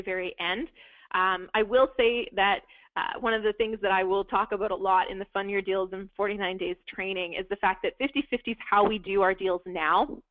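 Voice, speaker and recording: A woman's voice: mid-range voice, no room sound, phone-quality sound. Lady running a real estate webinar, voice going a bit, lots of ums, thin phone-ish sound, dry. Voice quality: talks at length with many fillers and restarts. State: losing her voice. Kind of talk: live webinar teaching.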